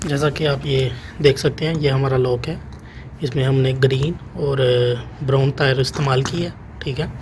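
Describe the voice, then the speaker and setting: A man's voice: medium pitch, steady tone, moderate pace. A man giving a plain, unscripted, step-by-step hands-on tech tutorial as an installer. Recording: clearly noisy room ambience.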